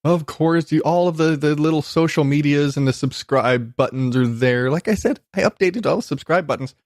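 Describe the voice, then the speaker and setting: A man with a fairly high voice delivers a casual podcast monologue in a dry, close recording. He is emphatic and exasperated, and he swears freely. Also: normal speed, no room sound. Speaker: podcast host. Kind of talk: casual ranting comedy monologue.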